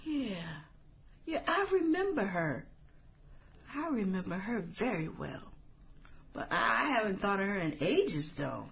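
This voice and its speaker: A woman's voice: mid-range voice, very animated, unhurried, vocal fry, pauses often, a bit bright, slight background noise, exaggerated voice. A woman acting in a satirical radio drama as an earnest, guarded former classmate, speaking sincerely with small hesitant stammers.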